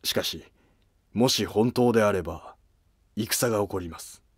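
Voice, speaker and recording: A man's voice: lowish voice, moderate pace, breathy edge, no room sound, clean studio sound. Man acting earnest, formal Heian nobleman. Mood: urgent, respectful. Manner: humble, formal court speech.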